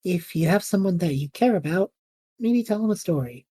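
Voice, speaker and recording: A woman's voice: on the low side, animated, moderate pace, dark tone, breathy edge. A woman playing an easygoing, kind-hearted and modest young male adventurer in an audio drama. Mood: warm sign-off.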